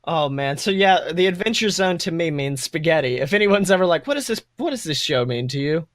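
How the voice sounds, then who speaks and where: A man's voice: fairly high pitch, lively intonation, a little fast, dry recording, studio quality. A young man co-hosting a podcast, chatting casually and enthusiastically in a rambling, gushing way that often interrupts itself. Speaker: podcast co-host.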